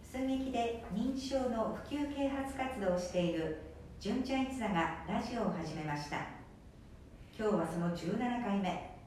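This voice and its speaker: A woman hosting a radio program, gently explaining and introducing the drama. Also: lively intonation, unhurried. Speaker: radio show host. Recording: echoey room, distant mic, faint rumble.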